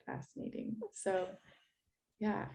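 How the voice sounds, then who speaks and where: A woman's voice: slow, darkish tone. A woman hosting an interview, effusive and prone to repeating herself. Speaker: interview host, art school founder. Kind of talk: casual interview.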